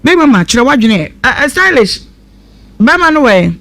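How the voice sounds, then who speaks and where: Female talk radio host, Ghanaian accent, high voice, probing and direct. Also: very animated, normal speed, a bit bright. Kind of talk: live radio talk show questioning and commentary.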